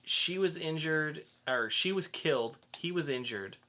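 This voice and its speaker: A man's voice: on the high side, lively intonation, unhurried, sharp tone. A man co-hosting a podcast in a casual, chatty style. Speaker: podcast co-host. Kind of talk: casual chat with a quiz segment.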